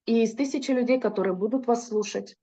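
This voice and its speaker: Mellow-voiced woman interpreting a lecture. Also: fairly high pitch, fast. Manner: fluent, with occasional hesitations and self-corrections. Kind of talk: consecutive interpretation into Russian.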